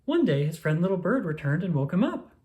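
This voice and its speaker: A storyteller reading a children's picture book aloud with expressive narration. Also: mid-range voice, fairly quick.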